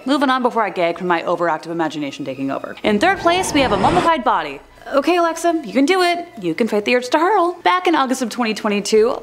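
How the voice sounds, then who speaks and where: A young woman in her twenties hosting a scripted countdown video, narrating with chatty, self-deprecating asides, filmed in front of a green-screen backdrop. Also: medium pitch. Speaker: host.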